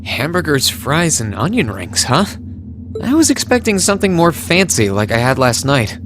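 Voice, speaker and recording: A man's voice: fairly high pitch, sing-song, moderate pace, a bit bright, soft background music. An actor playing an earnest, brooding young male academy student in a video game, serious and insistent. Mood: pensive. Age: young adult.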